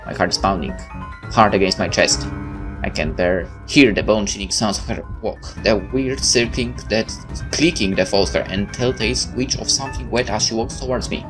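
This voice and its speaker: A man's voice: fairly low pitch, brisk, music in the background. A man hosting a gaming video, reading visual novel text aloud with stumbles and exasperated, sweary asides.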